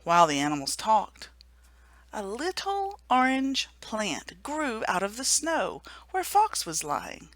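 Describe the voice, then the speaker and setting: A woman reading a children's storybook aloud for a remembrance service, gentle and hushed at the sad moments. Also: on the high side, wildly swooping pitch, takes it slowly, no echo. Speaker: story reader.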